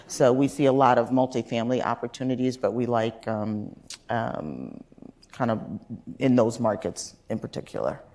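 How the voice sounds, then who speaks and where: A man's voice: mid-range voice, moderate pace, a little mellow. A man on a conference panel speaking casually and candidly, with fillers.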